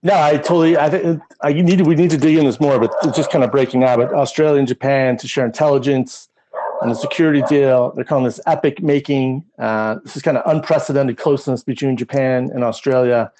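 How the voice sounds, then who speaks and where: A man's voice: medium pitch, steady tone, fast, noisy background. A man co-hosting a podcast, talking through current affairs in a casual, conversational way. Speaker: podcast co-host.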